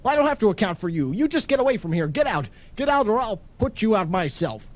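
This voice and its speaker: Man acting a high-pitched, blustering pompous husband. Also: very animated, fast talker. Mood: flustered, defiant. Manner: pompous, sputtering when flustered. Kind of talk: old-time radio comedy acting.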